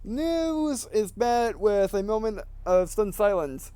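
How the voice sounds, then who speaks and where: A man doing a let's play, reading game text aloud haltingly, often stumbling and repeating phrases, with casual comments. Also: high-pitched, sing-song, warm tone, smooth, no echo. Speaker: gaming video host reading a visual novel aloud.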